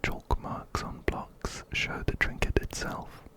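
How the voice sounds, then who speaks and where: Male ASMR host, breathy whisper, slow deliberate delivery, close-up ear-to-ear mic, game playthrough. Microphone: close-up binaural microphone, ear to ear.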